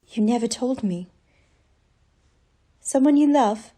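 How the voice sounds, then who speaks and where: High mellow voice, gentle heartbroken young woman acted by a woman, tender, earnest, period radio play. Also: slow pace, slightly rough. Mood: tender, sad. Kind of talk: scripted radio drama acting.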